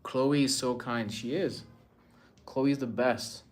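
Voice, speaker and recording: A young man from Rwanda chatting casually on a phone livestream at home, showing his art and answering viewer comments in a relaxed, rambling way. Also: mid-range voice, slow, slight background noise. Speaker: artist livestreaming. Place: indoors at home among his paintings. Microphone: phone camera, moving around.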